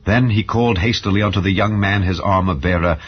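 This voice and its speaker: Male narrator for dramatized Bible audio: very low pitch, solemn, measured, slightly dull recording. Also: husky, soft background music. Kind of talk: dramatized scripture reading. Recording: dull, muffled lo-fi sound.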